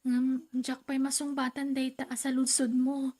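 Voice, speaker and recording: A woman's voice: sits high, completely flat delivery, dark tone. A woman acting a hesitant young daughter and sister in an Ilocano radio drama. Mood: shy, hesitant. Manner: hesitant, halting.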